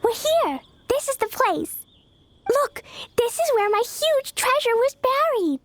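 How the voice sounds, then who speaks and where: A woman playing a sweet, innocent, excitable little girl in an anime video game, with an earnest childlike manner. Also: extremely high pitch, slow, heavily acted. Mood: happy.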